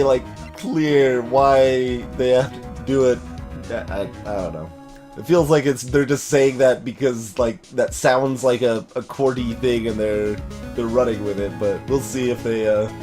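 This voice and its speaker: A man giving casual, hesitant and wry commentary on a game he is playing. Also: mid-range voice. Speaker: let's play host voicing a visual novel. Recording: music behind. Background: game music.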